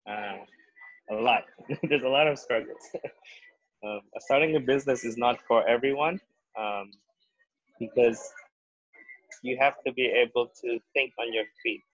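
A man's voice: medium pitch, unhurried, long pauses, fairly bright tone, no room sound, lo-fi sound. A young man from Indonesia, a business owner on a webinar panel, answering thoughtfully with frequent ums. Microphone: video call.

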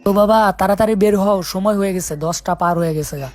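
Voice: mid-range voice, fast, darkish tone. A speaker with a Bangladeshi accent in a casual phone conversation, insistently checking again and again that the listener follows.

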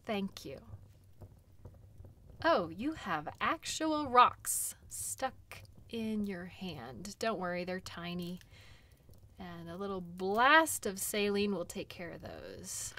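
A woman playing a caring, chatty dog-sled guide in an audio role play, gentle and reassuring, with conversational pauses. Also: mid-range voice, big pitch swings, full character voice. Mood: gentle, careful.

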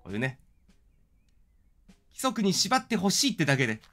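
A man's voice: high voice, very animated, moderate pace, a bit bright. A man doing joking game commentary with wry, theatrical asides, reading the dialogue aloud as he plays. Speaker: game streamer. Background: game music.

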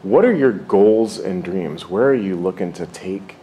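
Upbeat male interviewer with a lowish voice. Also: lively intonation, measured pace, dark tone, a little hiss or hum. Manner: upbeat and encouraging. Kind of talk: interview questions.

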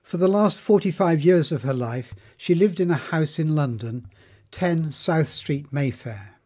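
A man reading a scripted biographical narration in a measured, formal manner. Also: a little high, animated, a little mellow.

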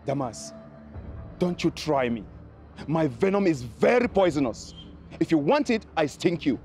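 Casting: a man as a boastful, menacing brother, higher-pitched and swinging wildly in tone. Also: very animated, a little slow, darkish tone, soft background music. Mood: threatening. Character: hot-headed protective older brother, threatening.

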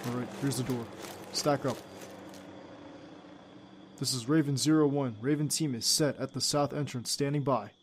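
A man's voice: mid-range voice, steady tone, normal speed, pauses often, slight background noise, natural voice. A man playing a young secret agent who leads his team and is a devoted boyfriend, in an audio roleplay drama. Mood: controlled, focused. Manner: clipped military radio commands.

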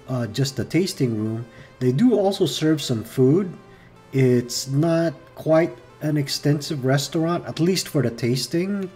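Relaxed man vlogging outdoors. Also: mid-range voice. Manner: relaxed, rambling, many ums and uhs. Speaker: travel vlogger. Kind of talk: casual winery travel vlog. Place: outdoor winery patio.

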